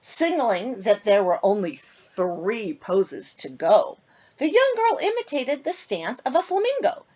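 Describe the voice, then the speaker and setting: A woman reads a children's picture book aloud in a fairly high voice. Her melody swings widely in a sing-song storytelling style. The tone is breathy, with phrase endings that often drop softly. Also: very animated, unhurried, low-quality recording. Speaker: author reading own picture book to children.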